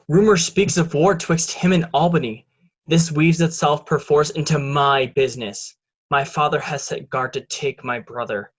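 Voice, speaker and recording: A man playing a scheming, urgent young nobleman and treacherous brother in a Shakespeare reading. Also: on the high side. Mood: plotting. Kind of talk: Shakespearean reader's theatre acting. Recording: dull, lo-fi voice recording.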